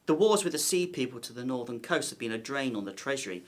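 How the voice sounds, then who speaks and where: A man's voice: mid-range voice, sing-song, fast, close to an everyday voice. A man playing a nervous, wary palace conspirator of ancient Egypt in an audio drama, speaking formally and theatrically. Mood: grave, conspiratorial.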